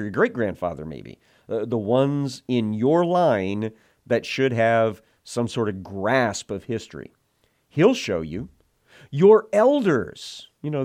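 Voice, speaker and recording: A man teaching through a Bible passage verse by verse, reading scripture aloud and explaining it with frequent "uh" fillers. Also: a little mellow. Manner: slow, deliberate, rises in pitch reading scripture aloud.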